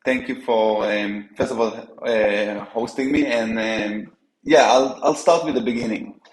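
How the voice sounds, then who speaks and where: Israeli man, echoey room. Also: medium pitch. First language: Hebrew. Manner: halting, frequent fillers and restarts. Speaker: company co-founder guest. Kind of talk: business interview.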